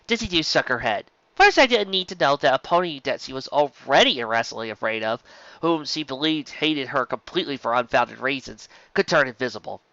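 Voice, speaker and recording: Fan fiction narrator, animated, bright tone, occasional stumbles, very dry sound, slightly muffled lo-fi recording. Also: mid-range voice, brisk, dry recording. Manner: animated, stumbles over words. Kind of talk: expressive scripted story narration.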